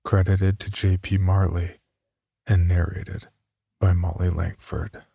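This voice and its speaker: A man's voice: deep voice, flat delivery, slow, creaky, mellow, husky. A man reading scripted podcast announcements and credits as a host.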